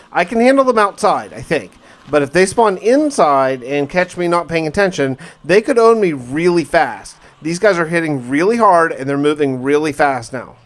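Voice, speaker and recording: A man narrating his survival gameplay like a diary, telling it casually but dramatically, with wry asides. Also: a little high. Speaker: gamer narrating a survival challenge.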